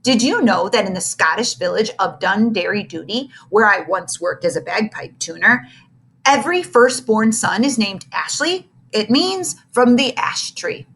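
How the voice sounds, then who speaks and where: A woman's voice: mid-range voice, animated, unhurried, a bit bright. A woman reading aloud, voicing a stern but caring male schoolteacher who lectures formally. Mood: lecturing.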